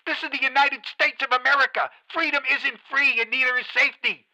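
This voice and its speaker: A frantic male radio DJ rants and pleads to his listeners in a high voice. The tone is sharp and very bright. It sounds like a radio drama. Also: steady tone, brisk, heavily acted, poor audio. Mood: furious. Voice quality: ranting, pleading. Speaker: radio host.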